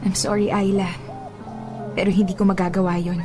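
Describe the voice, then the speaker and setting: Radio drama girlfriend, played by an actress: female, young, Filipino accent, sincere and steadfast, earnest measured delivery, medium pitch, music behind. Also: level tone, close to an everyday voice. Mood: sorry but resolute. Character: sincere, steadfast young new girlfriend standing her ground. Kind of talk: radio drama acting in mixed Tagalog and English.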